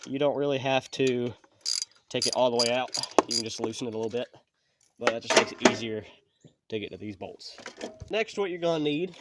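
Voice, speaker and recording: Sniffly DIY host, folksy and plainspoken, recorded on a camera mic with audible noise behind. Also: male voice, mid-range voice. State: sniffly from allergies. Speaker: channel host. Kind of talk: casual how-to repair walkthrough.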